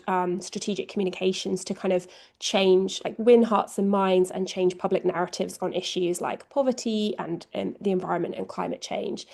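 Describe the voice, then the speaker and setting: English woman presenter on a video call, gravelly, chatty and self-deprecating, many "um"s. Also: medium pitch, darkish tone. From England. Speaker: guest speaker, communications co-lead. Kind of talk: online presentation.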